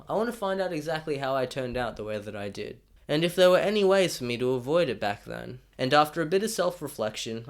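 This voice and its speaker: A young Australian man narrates a scripted comic story about himself, wry and self-deprecating. His voice sits at an ordinary middle pitch but jumps up for punchlines and drops low for asides.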